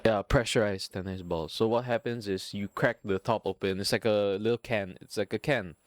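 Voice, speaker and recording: A young man with a Filipino accent hosts his own podcast, rambling loosely from one thing to the next and swearing constantly in a self-mocking way. His voice sits a little low. The sound is dry and close, with no room around it. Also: steady tone, moderate pace, dry recording. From Singapore. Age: young adult. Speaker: podcast host. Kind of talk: casual solo podcast rambling.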